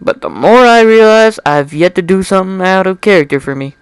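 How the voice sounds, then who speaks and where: A man's voice: sits high, animated, a little fast, smooth, no echo, poor audio. A man doing an informal dramatic story reading with casual asides, stumbling over words and correcting himself. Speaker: amateur fan fiction reader.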